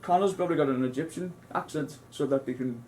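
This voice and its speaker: A man's voice: on the high side, fast, darkish tone. Rambling man talking films. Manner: rambling, many restarts and asides. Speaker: co-host. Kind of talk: casual film discussion.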